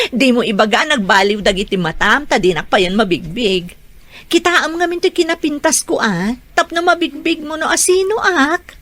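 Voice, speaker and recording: Animated, flirtatious scheming mother. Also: high-pitched, normal speed, no echo. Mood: coquettish. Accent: Ilocano. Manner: exclamatory, coquettish. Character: flirtatious, scheming, money-minded single mother. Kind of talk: radio drama acting.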